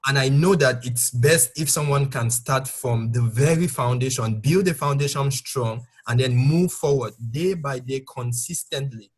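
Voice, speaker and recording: A man with a somewhat husky voice hosts an online talk. He poses long, carefully framed questions in a polite, measured way. He is heard over a video call connection. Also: mid-range voice. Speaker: host interviewer. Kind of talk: moderating an online interview.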